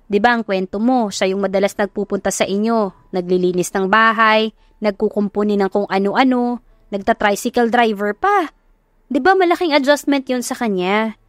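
A woman's voice: medium pitch, normal speed, no echo, studio quality, lightly acted. A woman voicing a sassy, encouraging young female best friend in an audio love story, with slangy, emphatic, teasing pep talk. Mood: reassuring.

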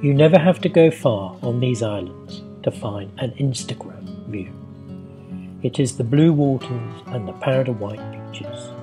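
A man giving scripted, measured travel narration as a voice-over. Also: medium pitch. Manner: measured and informative. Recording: voice-over with guitar music behind.

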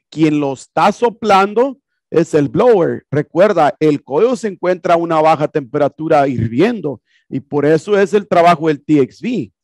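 A man's voice: a little high, a little mellow, dry recording. A man teaching an HVAC class in a classroom, explaining casually and repeating himself with rhetorical questions. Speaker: HVAC instructor. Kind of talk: classroom lecture explaining a projected video. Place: classroom with projector screen.

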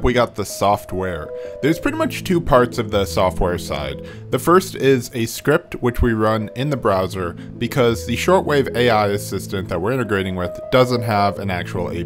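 A man hosting a DIY tech video, narrating casually with informal, wry, self-deprecating humor. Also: fairly low pitch. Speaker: host of a DIY tech project channel.

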